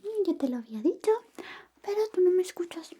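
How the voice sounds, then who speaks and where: A woman playing an affectionate, teasing young best friend secretly in love, in a soft, breathy, whispered ASMR roleplay. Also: very high voice, big pitch swings, pitched above the performer's own voice. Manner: slow, coaxing, intimate, playfully teasing. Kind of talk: ASMR personal-attention roleplay.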